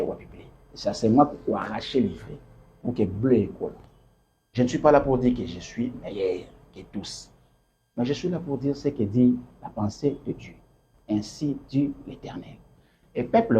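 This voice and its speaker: A man's voice: on the low side, dark tone, slight background noise. A man from Congo with a Congolese French accent, a party leader answering interview questions in an emphatic, repetitive, preaching way, quoting scripture. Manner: emphatic and repetitive, quotes scripture and mixes in Lingala. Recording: dull lo-fi recording.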